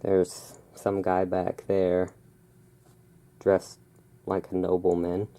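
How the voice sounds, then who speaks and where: A host giving a relaxed ASMR read-aloud, drifting into casual, musing asides. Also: low voice, takes it slowly. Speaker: host reading and commenting on a magazine.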